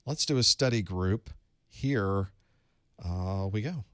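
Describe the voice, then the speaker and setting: A man with a lowish voice hosts a live online study group from a studio room, talking into a studio microphone. He is easygoing and chatty, greeting viewers by where they are joining from and slipping in light jokes. The sound is close and dry, with no room echo. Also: slow, smooth. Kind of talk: casual live-stream chat and instruction. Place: home studio room with electronics.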